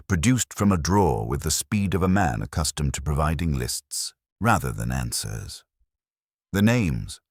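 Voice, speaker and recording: A man narrates literary fiction in a very deep voice with lively rises and falls. His delivery is formal and wryly measured, with a husky grain. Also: no echo, clean studio sound. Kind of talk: scripted literary audiobook narration.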